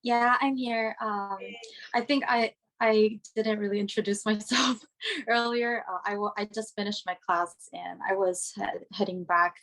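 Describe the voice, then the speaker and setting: A young woman, a university student, giving an unscripted personal reflection, halting often to search for words. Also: medium pitch, steady tone, fairly bright tone, poor audio. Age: young adult.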